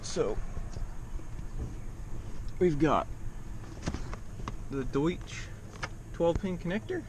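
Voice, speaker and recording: A man's voice: on the high side, sing-song, very slow. A man casually narrating his own hands-on repair vlog in a plain-spoken, wry way. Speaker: DIY truck repair vlogger. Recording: background noise of vehicle and hum.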